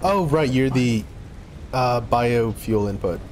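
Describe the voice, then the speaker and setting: A man's voice: mid-range voice, lively intonation, slow, a little mellow, a little hiss or hum. Laid-back man chatting while gaming. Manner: laid-back, laughs often, talks to game objects. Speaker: co-op gameplay player. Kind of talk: casual gaming chat.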